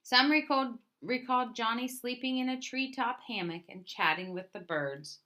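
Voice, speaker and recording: Bright-voiced woman reading a storybook aloud. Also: on the high side. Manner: deliberate storytelling with emphatic phrase openings. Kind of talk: scripted picture book narration.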